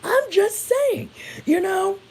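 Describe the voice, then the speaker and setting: A woman's voice: extremely high pitch, animated, slow, creaky, a little mellow, poor audio. A woman with an American accent giving a casual opinion rant to viewers, blunt and indignant, swearing freely. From United States. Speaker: vlogger.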